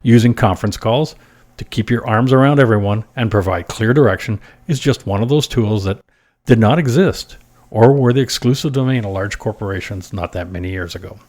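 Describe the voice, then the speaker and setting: Low-pitched man presenting scripted business advice. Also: brisk, a little mellow. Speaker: host of a short business advice podcast.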